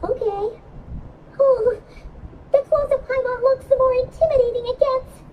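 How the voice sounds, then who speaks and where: A woman voicing a small, excitable, curious fairy companion with a squeaky childlike voice in a video game dub. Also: measured pace, phone-quality sound, a little hiss or hum. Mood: uneasy. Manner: chirpy, exclaiming, refers to self in third person.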